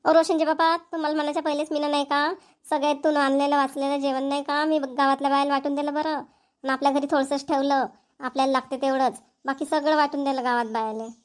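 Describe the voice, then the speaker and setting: Lady doing a talkative village housewife for a cartoon, really high voice, varhadi accent, homely and emphatic. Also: level tone, quick pace, close to an everyday voice. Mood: chatty, explaining. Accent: rural Maharashtrian, Varhadi. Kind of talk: Marathi village family cartoon dialogue.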